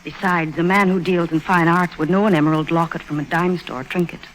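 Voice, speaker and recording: A woman playing a disappointed, gently firm lady with restrained, earnest delivery in 1950s radio drama style. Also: fairly low pitch, steady tone, a little fast, lightly acted, poor audio. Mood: regretful, firm.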